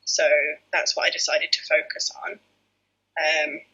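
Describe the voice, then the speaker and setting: A woman, a head teacher telling her story hesitantly, with many fillers and a breathy voice. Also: low-pitched, level tone, slow pace, sharp tone. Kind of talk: personal story in interview. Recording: muffled lo-fi audio.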